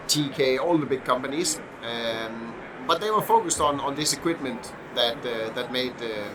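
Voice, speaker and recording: A middle-aged man from Denmark with a Danish accent, a podcast guest who talks in a halting way with many fillers and restarts. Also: mid-range voice. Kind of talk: casual podcast interview. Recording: noisy background hum.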